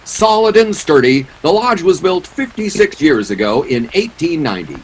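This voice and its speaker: A man's voice: a little high, big pitch swings, moderate pace, breathy edge, poor audio. A man playing a showy 1940s radio announcer, reading scripted introductions and advertising like a polished salesman. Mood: upbeat, selling.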